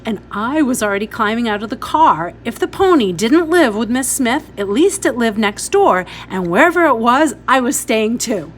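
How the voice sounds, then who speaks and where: A woman's voice: a little high, expressive, slight background noise. A woman reading a story aloud expressively, as a teacher would for pupils. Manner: instructive, pausing to point out details.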